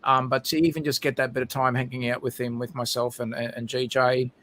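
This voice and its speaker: A man's voice: medium pitch, flat delivery, fairly quick, a bit bright, a little hiss or hum. A man with an Australian accent, a guest chatting casually on a livestream, rambling with many fillers and restarts. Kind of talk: casual chat.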